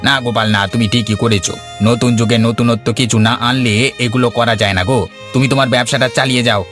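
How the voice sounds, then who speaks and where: A man voicing a friendly, curious, approving older regular customer in an animated story. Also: medium pitch, fast, natural voice. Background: faint background music.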